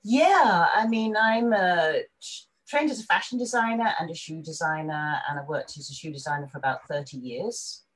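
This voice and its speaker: A woman's voice: lowish voice, normal speed, rough recording. An older woman from England with an English accent, a guest chatting casually and laughing easily as she tells her story over a video call. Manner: chatty, laughs easily, frequent restarts, animated when quoting others.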